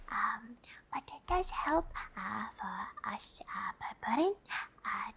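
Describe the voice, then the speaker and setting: A woman voicing a cute, friendly, curious pink alien in a soft, breathy, gently hesitant ASMR whisper. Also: on the high side, exaggerated voice. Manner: gentle, hesitant, third-person speech with careful scientific wording. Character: cute, curious, friendly pink female alien explaining human summer tips. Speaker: host.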